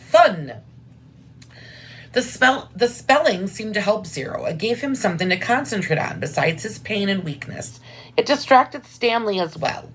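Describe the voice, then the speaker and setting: A woman's voice: on the low side, slight background noise, poor audio. A woman reading aloud expressively as a teacher, with simple, informal explanations about what to draw. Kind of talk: expressive read-aloud with drawing asides.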